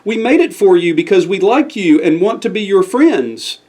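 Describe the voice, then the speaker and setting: A man's voice: high voice, very animated, normal speed, a little mellow, pitched above the performer's own voice. A man voicing friendly, cheerful squirrel neighbors in a bedtime story, eager and chirpy.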